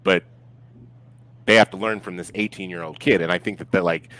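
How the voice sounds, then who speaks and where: A man chatting casually about sport as a podcast guest, speaking in a halting, reflective way and circling back to rephrase. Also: low voice, fairly even intonation, a bit bright, rough recording. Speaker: podcast guest discussing football.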